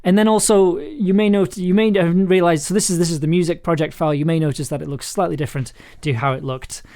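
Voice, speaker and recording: A man with a raspy, throaty voice, talking casually and rambling through a livestream while producing an audio drama. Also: fairly high pitch, fairly even intonation, fast, warm tone. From United Kingdom. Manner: rambling, many restarts and fillers. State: raspy, throaty voice after illness. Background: music.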